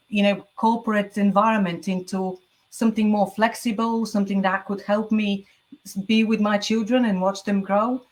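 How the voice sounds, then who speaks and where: A woman's voice: mid-range voice, rough recording. A woman in her forties from the UK, a guest telling her story in a casual chat, chatty, with frequent laughs and some stammering.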